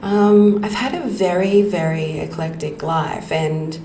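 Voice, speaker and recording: A middle-aged woman hosting a podcast, telling her life story slowly and reflectively, with frequent ums and soft laughs. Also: sits low, steady tone, creaky. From Australia. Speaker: podcast host. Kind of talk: personal storytelling monologue. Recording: echoey room with faint background noise.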